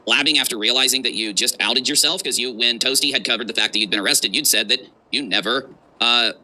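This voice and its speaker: A man's voice: mid-range voice, quick pace, bright, forceful tone, low-quality recording. A man hosting a livestream with mocking reaction commentary, sarcastic and emphatic in delivery.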